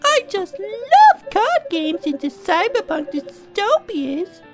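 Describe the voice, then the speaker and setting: A performer voicing a friendly, chirpy female hotel receptionist in a video game, polite and drawn-out. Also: extremely high pitch, big pitch swings, soft background music, pitched above the performer's own voice, heavily acted. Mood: friendly, curious.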